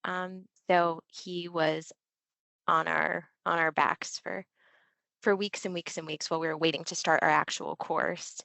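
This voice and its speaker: A woman's voice: sits low, fairly even intonation, a little slow, creaky, bright, forceful tone, no echo. A woman, a Marine veteran linguist, talking candidly as a podcast guest, with loose fillers. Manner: candid and chatty, with filler words. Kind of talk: casual interview chat.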